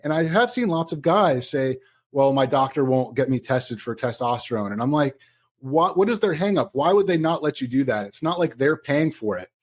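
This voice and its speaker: A man's voice: mid-range voice, expressive, normal speed. A man hosting a podcast, casual and upbeat, asking his guest questions. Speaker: podcast host.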